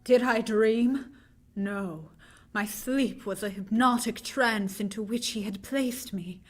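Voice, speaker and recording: A woman's voice: a little high, slow pace. A woman playing an earnest, frightened young woman in a vintage-style radio drama, speaking with dramatic, anguished deliberation. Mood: haunted, recounting.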